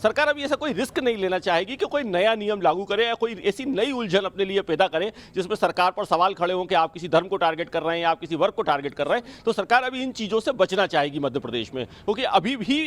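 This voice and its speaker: Male news reporter. High-pitched, rapid explanatory delivery, live analysis style. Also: expressive.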